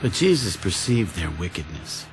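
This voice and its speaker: Deep-voiced male narrator over music. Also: very animated, moderate pace, rough recording. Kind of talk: scripted scripture narration.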